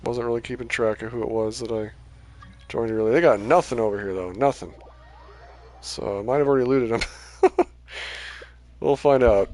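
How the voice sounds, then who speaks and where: A man's voice: mid-range voice, moderate pace, pauses often, darkish tone. A man giving casual, rambling gameplay commentary on a livestream, his voice starting to tire. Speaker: game livestreamer.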